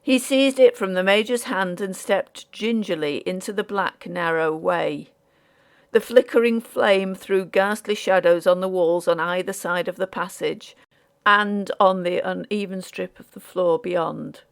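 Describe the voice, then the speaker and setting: Female audiobook narrator for Victorian ghost story; unhurried, measured, with pronounced vocal fry. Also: on the low side.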